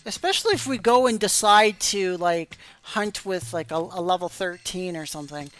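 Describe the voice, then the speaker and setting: A woman streaming a game tutorial in casual chat, her voice going hoarse after hours of talk, rambling and apologetic with many fillers. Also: fairly low pitch, animated, normal speed, soft background music. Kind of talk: casual live-stream commentary.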